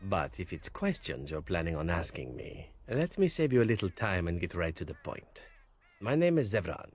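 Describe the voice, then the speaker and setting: An actor playing a suave, flippant captured assassin in a video game, talking in a teasing, wry, chatty way. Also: male voice, sits low, sing-song, normal speed, darkish tone. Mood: teasing, glib. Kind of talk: video game character dialogue.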